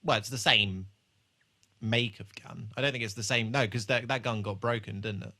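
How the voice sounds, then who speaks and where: Bright-voiced man, low and sweary. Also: normal speed. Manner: sweary, blunt. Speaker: podcast host. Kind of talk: casual chat, TV episode discussion.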